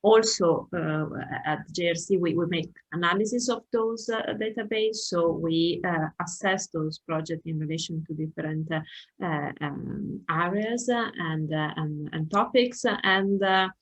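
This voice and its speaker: A middle-aged woman, a transport economist, introducing herself on an online panel over a video call, pausing often with fillers and restarts. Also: fairly low pitch. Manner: hesitant, many fillers and restarts.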